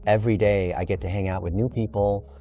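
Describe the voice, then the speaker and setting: Low, mellow man in his fifties, emphatic. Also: fairly even intonation, fairly quick, soft background music. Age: early 50s. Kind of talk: enthusiastic conversational explaining.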